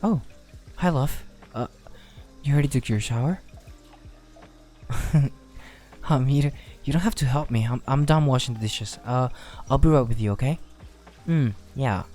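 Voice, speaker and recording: A shy young werewolf boyfriend, acted by a man, speaks softly in a mellow, relaxed voice. He takes his time, hesitant and affectionate, in an intimate ASMR roleplay with music playing behind him. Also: mid-range voice, a little slow, long pauses, close to an everyday voice. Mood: playful, bashful. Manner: hesitant, affectionate, soft-spoken, often chuckling. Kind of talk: soft romantic ASMR roleplay.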